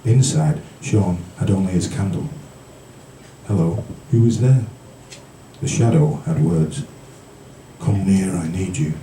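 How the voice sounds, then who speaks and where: A man from the British Isles reading poetry live at a café event in a measured storytelling manner, with brief casual asides. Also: deep voice, mellow. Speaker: featured poet. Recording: roomy, distant, noisy live recording.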